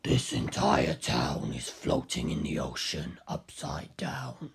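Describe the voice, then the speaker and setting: A menacing, inhuman male villain from an audiobook, performed by a narrator. He whispers low and breathy, drawing each phrase out slowly with cold, exasperated disdain. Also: very animated, exaggerated voice. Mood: matter-of-fact. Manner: slow, deliberate delivery.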